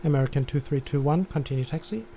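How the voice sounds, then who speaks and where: A man's voice: fairly high pitch, quick pace. A man live-streaming as an air traffic controller, giving instructions and commenting on the game. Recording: background noise.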